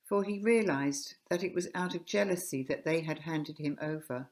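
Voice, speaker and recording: A woman's voice: sits low, normal speed, a little mellow. A woman narrating scripture from a script, recorded at home.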